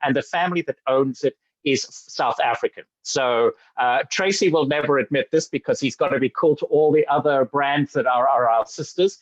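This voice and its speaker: A man from Zambia giving an upbeat sales presentation, talking eagerly with frequent fillers and quick restarts. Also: mid-range voice, no room sound, dull audio. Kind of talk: enthusiastic webinar presentation.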